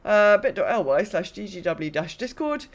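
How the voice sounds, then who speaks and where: A woman podcast host talks in a casual, conversational style about games. Her delivery is wry, and she often acts out what other people might say. Her pitch sits in a middle range. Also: sing-song, normal speed. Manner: wry, acts out imagined quotes. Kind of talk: casual chat about gaming.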